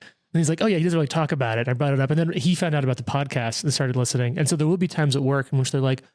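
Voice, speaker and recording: A man's voice: medium pitch, very fast, darkish tone. A man in his thirties, the game master, chatting casually in a rambling, self-deprecating way with lots of quoted asides and restarts. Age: 30s. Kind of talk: casual chat at the gaming table.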